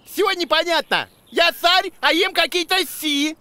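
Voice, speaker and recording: A man's voice: very high-pitched, unhurried, pushed and bright, no room sound, full character voice. A man voicing a plaintive, fussy old king in a children's cartoon. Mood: whiny, plaintive. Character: whiny, discontented old male cartoon king.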